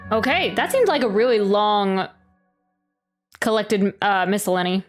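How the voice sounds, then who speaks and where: A woman's voice: mid-range voice, expressive, unhurried, faint music behind. A woman streamer reacting casually and talking with her viewers in informal, slangy language. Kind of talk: casual livestream reaction chat.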